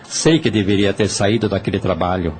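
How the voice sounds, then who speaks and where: A man's voice: deep voice, a little fast, lightly acted. An actor voicing a weary, remorseful male spirit who confesses his wrongs earnestly, with a Brazilian accent. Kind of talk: Portuguese-language audio drama acting.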